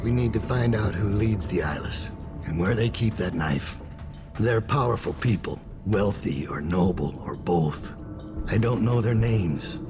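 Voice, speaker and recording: An actor playing a weary, grave old assassin mentor in a video game, speaking deliberately with a gravelly voice. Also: male voice, fairly low pitch. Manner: grave, deliberate, in short phrases. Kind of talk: dramatic video game dialogue.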